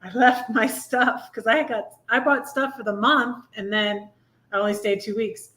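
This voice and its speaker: A woman hosting a casual live chat, telling personal stories in a halting, rambling way. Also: a little high, fairly even intonation, fairly bright tone. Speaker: live stream host.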